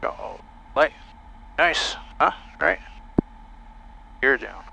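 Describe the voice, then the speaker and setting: Male pilot, animated and bright, clipped casual callouts from a cockpit headset with light engine noise. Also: mid-range voice, slow, vocal fry, low-quality recording. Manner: clipped, self-coaching short phrases. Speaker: private pilot. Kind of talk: casual cockpit commentary and radio calls. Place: small aircraft cockpit in flight. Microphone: aviation headset. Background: aircraft engine drone.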